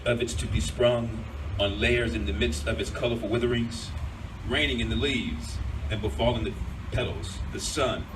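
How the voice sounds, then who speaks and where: A man reading poetry aloud as spoken word, with deliberate, dramatic phrasing. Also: medium pitch, steady tone, noisy background. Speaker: poetry reader. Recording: distant mic, music behind.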